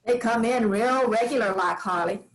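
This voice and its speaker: A woman's voice: a little high, moderate pace, close to an everyday voice, low-quality recording. A woman playing a scornful old farm matriarch. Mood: defiant. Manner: curt, defiant, scornful. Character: hard-bitten farm matriarch, older woman, sharp-tongued. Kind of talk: staged play reading.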